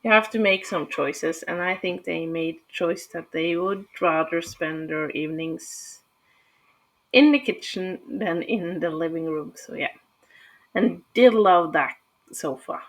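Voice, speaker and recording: Slow, gravelly Norwegian woman. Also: on the low side, low-quality recording. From Norway. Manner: hesitant, many fillers and restarts. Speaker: gaming channel host. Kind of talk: casual build commentary.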